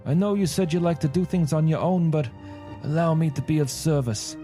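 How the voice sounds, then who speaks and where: A man puts on a doting crime-boss father for a tabletop story. He speaks higher than usual in measured, courteous phrases. Orders come wrapped in affection. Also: mellow, soft background music, close to an everyday voice. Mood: fond, commanding. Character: doting, smooth-talking crime-boss father reading his letter.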